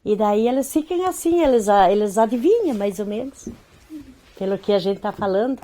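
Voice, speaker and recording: A woman's voice: medium pitch, lively intonation, normal speed, mellow. A woman with a southern Brazilian accent chatting casually, dropping in frequent tag questions. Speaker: interviewee. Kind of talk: casual anecdote.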